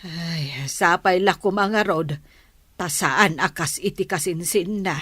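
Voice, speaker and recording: Actress as worried older mom in a radio drama, lowish voice, dry close sound. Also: female voice, takes it slowly, fairly bright tone, slightly rough, no echo, exaggerated voice. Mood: worried, tender. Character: concerned, tender older mother. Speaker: voice actor in Ilocano radio drama.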